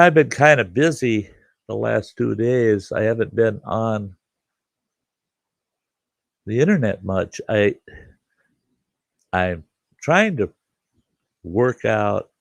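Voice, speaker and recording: A slow-talking older man hosts a casual live chat, sounding congested and chuckling as he rambles. His voice is very low and gravelly, recorded close and dry. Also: no echo. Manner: relaxed, rambling, chuckles often, greets viewers by name. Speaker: livestream host.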